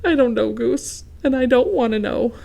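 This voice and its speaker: A woman voicing a cautious young male duck dressed as a ghost, with flat, wary remarks. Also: on the high side, moderate pace, vocal fry, pitched above the performer's own voice.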